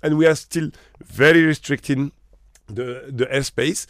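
A man's voice: mid-range voice, a little slow, no echo, clean studio sound. A man from Morocco who manages hotels, talking as an interview guest with emphatic rhetorical questions.

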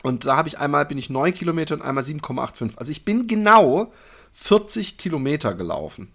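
A man's voice: fairly high pitch, big pitch swings, quick pace, gravelly, a bit bright. A man co-hosting a casual podcast, bantering about running in a teasing, playfully boastful and crude way. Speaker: podcast co-host.

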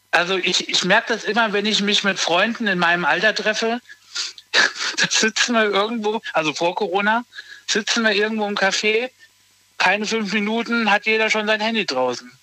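A man in his thirties talks casually and haltingly, circling back and restarting his thoughts. His voice sits high and sounds bright and forward. The sound is dry, with no room echo. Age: 30s. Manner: hesitant, restarts often, lots of fillers. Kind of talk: casual conversation.

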